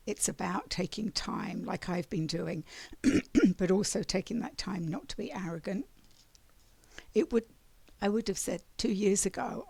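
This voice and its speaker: A raspy-voiced woman giving a spiritual monthly oracle card reading, meandering and often clearing her throat. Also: mid-range voice, darkish tone, dry recording.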